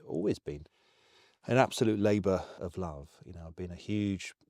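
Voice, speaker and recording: A man's voice: low voice, normal speed, a little mellow, dry recording, clean studio sound. A man, a writer, answering in an interview in a thoughtful, slightly hesitant way. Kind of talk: interview chat.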